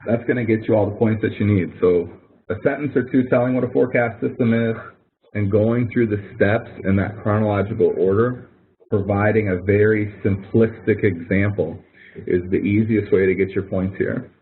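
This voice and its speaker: A man's voice: lowish voice, steady tone, normal speed. A man leading an instructional webinar, explaining things informally in a conversational, coaching way and often repeating phrases. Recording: thin phone-like webinar audio.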